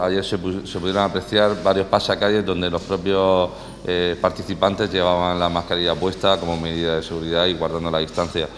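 A young adult man with a Murcian Spanish accent, speaking hesitantly with many fillers at a press conference podium. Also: low voice. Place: city hall press room, indoors. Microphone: podium microphone. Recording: reverberant hall, faint room hiss.

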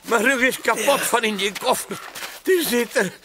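A man's voice: very high voice, moderate pace, pushed and bright, slight background noise. A man voicing a miserable freeloading stowaway from a comic book, moaning and stammering. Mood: self-pitying. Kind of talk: comic book read aloud in character.

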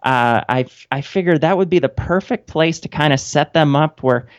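A woman's voice: low voice, moderate pace, no room sound. A woman in her thirties chatting casually and wryly as a guest in a radio interview. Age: 30s. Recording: dull, band-limited audio.

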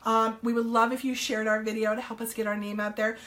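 A slightly hoarse woman, chatting upbeat and loose on a home live stream, full of little ums and hellos to viewers. Her voice sits a little high, and the sound is picked up by a camera across the table. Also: flat delivery, fairly quick, fairly bright tone. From New Jersey, US. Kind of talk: casual live-stream sales chat. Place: home room, indoors.

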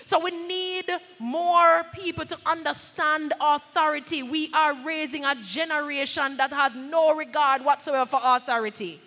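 A woman's voice: sits high, pushed and bright. A woman in her thirties with a Jamaican accent preaching a sermon, emphatic yet conversational, slipping into Patois, on a handheld mic in a church hall. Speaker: pastor preaching. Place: large church hall at a pulpit. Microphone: handheld microphone. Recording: echoey hall through PA microphone.

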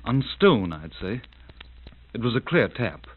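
An actor playing a dazed, anxious adventurer in an old 1940s-style radio drama, earnest and agitated. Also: male voice, mid-range voice, sing-song, a little slow. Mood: tense, earnest. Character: dazed, anxious adventurer searching for a kidnapped woman. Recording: narrow, tinny, hissy old radio recording.

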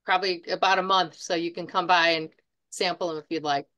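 A woman's voice: lowish voice, fairly even intonation, fairly quick, bright, forceful tone, clear, resonant tone. A middle-aged woman who runs a bird supply store, talking on a video call in a chatty, practical way with many fillers. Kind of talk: casual panel chat. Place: home room.

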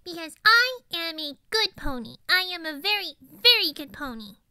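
A woman's voice: extremely high pitch, sing-song, moderate pace, bright, forceful tone, dry recording, studio quality, full character voice. A woman voicing a zany, boastful ten-year-old girl pony in a cartoon monologue, chatty and rambling with melodramatic emphasis. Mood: earnest, proud. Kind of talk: improvised cartoon character monologue.

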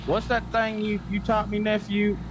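A man's voice: high voice, monotone, moderate pace, over a noisy crowd. A man chatting in a folksy, colloquial way while playing a police roleplay game. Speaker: roleplay gamer playing a lawman. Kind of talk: casual in-character game banter. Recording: noisy, game vehicle ambience.

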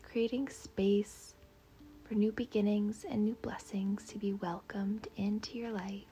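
A woman leading a guided meditation in a soft, breathy, half-whispered voice, gentle, soothing and unhurried. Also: medium pitch, level tone, soft background music.

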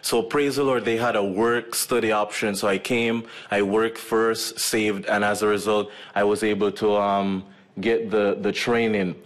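A Jamaican man with a fairly low voice shares his testimony as a medical missionary, talking easily and filling pauses with ums and uhs. He speaks into a stand mic at a lectern on an auditorium stage. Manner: relaxed storytelling with frequent fillers. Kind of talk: personal testimony talk to a church audience. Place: auditorium stage with curtain backdrop.

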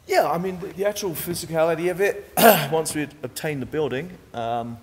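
A man's voice: on the high side, moderate pace, long reverb tail, a little hiss or hum. A man, a church cofounder, answering interview questions in a casual, rambling way inside a large chapel. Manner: easygoing, rambling, many restarts.

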